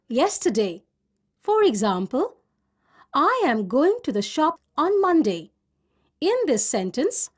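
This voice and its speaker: A woman narrating a scripted lesson for children as a teacher, enunciating deliberately and listing items word by word. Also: high voice.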